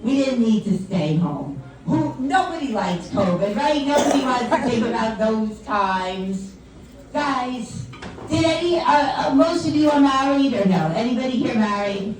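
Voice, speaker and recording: A woman's voice: on the high side, expressive, a little slow, distant mic. Woman comedian with an old-sounding voice. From US tri-state area. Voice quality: voice that sounds older than she is. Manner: chatty, teasing, self-mocking, keeps addressing the crowd as "guys". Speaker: stand-up comedian. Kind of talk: stand-up comedy with crowd work. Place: comedy club or venue. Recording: echoey club, mic from afar, audience noise. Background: audience laughing and chatting.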